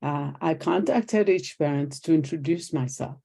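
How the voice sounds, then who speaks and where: Older Nigerian woman, gravelly and mellow. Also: sits low, moderate pace. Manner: animated storyteller acting out quoted exchanges. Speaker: guest, retired teacher. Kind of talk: conversational interview storytelling.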